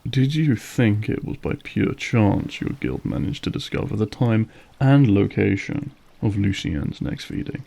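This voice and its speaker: Man playing a slow, gravelly, silky vampire lord. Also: on the low side, big pitch swings, dark tone, full character voice. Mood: gloating, taunting. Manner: silky, mocking, theatrically formal, savoring each phrase. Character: suave, menacing, manipulative male vampire lord toying with captive. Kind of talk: scripted audio roleplay acting.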